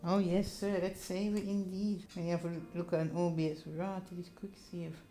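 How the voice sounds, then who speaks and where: A woman's voice: on the low side, level tone, moderate pace, warm tone, soft background music. A woman narrating a visual novel playthrough, reading the text aloud with casual asides.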